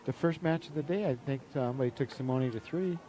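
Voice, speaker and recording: A man giving chatty, excitable live commentary on a sports match. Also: medium pitch, fast talker, slight background noise, poor audio.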